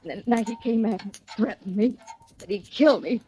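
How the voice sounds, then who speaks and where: An actress playing an obsessive, lovesick killer in a 1940s radio melodrama. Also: female voice, medium pitch, brisk, dark tone, lightly acted. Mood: frantic, tearful. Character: obsessive, lovesick woman who has killed. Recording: noisy old radio recording.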